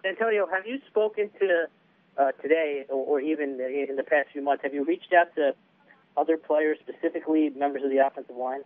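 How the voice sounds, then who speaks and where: A man's voice: on the high side, a little fast. A male sports reporter on a conference call, asking pointed, probing questions. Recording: dry tinny phone line.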